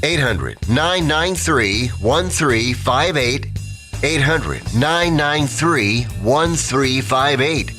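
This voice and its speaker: A man's voice: medium pitch, lively intonation, extremely slow, pushed and bright, soft background music, full character voice. A man playing a plain-spoken customer and announcer in a radio advertisement. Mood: matter-of-fact. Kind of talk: radio ad testimonial.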